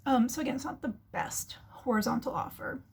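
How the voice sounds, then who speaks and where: A woman with a fairly high voice that carries a husky edge. She talks as a host giving casual business commentary, explaining ideas in a chatty, conversational way and slipping into little imagined remarks. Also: a little fast, a little mellow. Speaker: host of a marketing commentary show.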